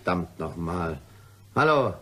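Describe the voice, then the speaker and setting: A man playing a pompous, irritable, sardonic author in a German crime radio play, with a husky voice and a curt manner. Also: sits low, big pitch swings, unhurried, heavy background noise. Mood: distracted, self-satisfied. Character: pompous male writer of saints' lives, irritable, sardonic.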